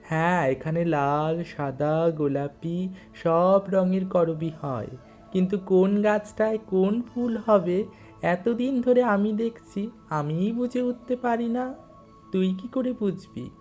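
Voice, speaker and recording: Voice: medium pitch, takes it slowly, a little mellow, faint music behind, pitched above the performer's own voice, natural voice. A narrator voicing an elderly village woman in a ghost story, coaxing and familiar, with a North Bengal dialect accent. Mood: curious, familiar. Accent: North Bengal (Jalpaiguri). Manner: rustic dialect, beckoning, familiar address. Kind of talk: audio ghost story character.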